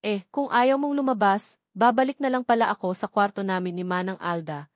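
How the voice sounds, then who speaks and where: A sulky young wife from a romance audiobook, read by a woman. She acts annoyed and stern with her husband, at a middling feminine pitch. The sound is dry. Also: fairly quick, no echo, lightly acted. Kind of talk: dramatized romance audiobook dialogue.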